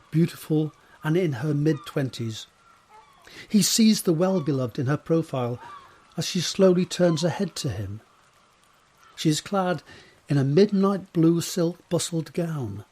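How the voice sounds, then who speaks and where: A man's voice: fairly high pitch, darkish tone, slightly rough. A man narrating an audio drama from a script, describing the scene in the present tense.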